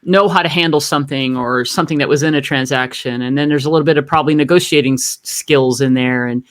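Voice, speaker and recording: A man's voice: mid-range voice, fast talker. A man hosting an interview, a coach who chats in an affirming, conversational way. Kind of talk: casual interview chat.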